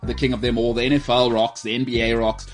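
A man hosting a casual sports talk show in a studio, animated and jokey, rambling with restarts. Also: mid-range voice, quick pace, faint music behind. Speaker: radio show host. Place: radio studio. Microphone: studio microphone.

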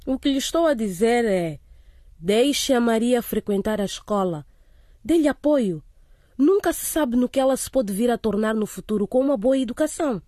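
An actress playing a firm, caring middle-aged headmistress in a radio drama, speaking in an earnest, measured way. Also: female voice, a little high, lively intonation, dark tone, dry recording, studio quality. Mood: firm, insistent.

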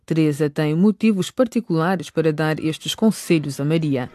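A woman's voice: low-pitched, normal speed, warm tone. A woman narrating a radio drama from a script.

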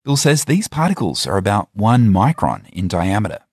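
Guy reading news narration, lowish husky voice, lots of up and down in it. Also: sing-song, moderate pace, a little mellow, no room sound, clean studio sound. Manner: clear reporting tone, linking interview clips. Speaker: reporter narrating a report. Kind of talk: scripted narration.

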